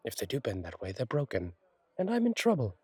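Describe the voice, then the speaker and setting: A man playing a friendly, attentive fallen-angel bartender in an intimate roleplay audio, reassuring and chatty, with gentle wry humour and a husky voice. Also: mid-range voice, huge pitch swings, brisk, no room sound. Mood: wry, playful. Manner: reassuring, chatty, wryly playful, slightly formal wording. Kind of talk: intimate one-sided roleplay audio acting.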